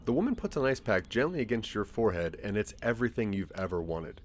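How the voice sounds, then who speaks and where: Male narrator for interactive audio drama, dramatic storyteller, lower register, animated delivery. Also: quick pace. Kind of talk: scripted second-person audio drama narration.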